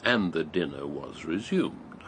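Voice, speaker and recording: An actor playing an elderly writer who narrates an old memory in a reflective, literary, gravelly voice. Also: male voice, rumbling low, expressive, a little slow, fairly bright tone, slight background noise, exaggerated voice. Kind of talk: radio drama narration.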